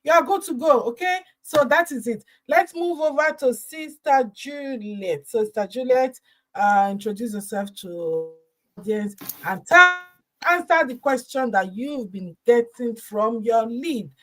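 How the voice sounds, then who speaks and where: Woman moderator on an online panel, higher pitched, upbeat, halting delivery full of fillers. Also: lively intonation, fairly bright tone. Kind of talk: live online panel hosting.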